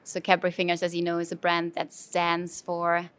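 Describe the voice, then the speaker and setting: A woman's voice: on the low side, monotone, normal speed, a bit bright, clear, resonant tone. A woman from Germany with a German accent, speaking as a guest in a conversational interview, thoughtful, with frequent fillers and restarts. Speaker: brand manager, interview guest. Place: conference venue.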